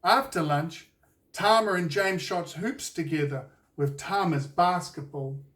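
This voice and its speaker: A man with a New Zealand accent reading a children's storybook aloud in a deliberate, expressive storytelling way. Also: on the high side, far from the mic. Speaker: children's author reading his own book. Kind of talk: scripted storybook narration for children.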